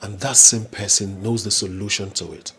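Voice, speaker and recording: A man's voice: on the low side, fairly even intonation, normal speed, lightly acted. An actor playing a worried male messenger, earnestly relaying troubling news. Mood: grave. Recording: background noise and ambience.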